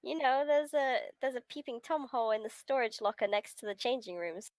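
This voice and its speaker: A woman's voice: on the high side, expressive, a little fast, a little mellow, dry recording. A woman streamer reading a visual novel aloud, giggly and breaking from the script with casual reactions. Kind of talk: live stream read-aloud with casual asides.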